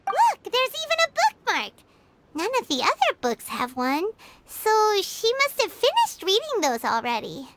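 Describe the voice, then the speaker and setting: A woman voicing a tiny, perky, excitable fairy companion in a video game, with a squeaky childlike voice. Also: unhurried, slight background noise, full character voice. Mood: curious, eager. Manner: refers to self in third person, exclamatory. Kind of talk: video game character acting.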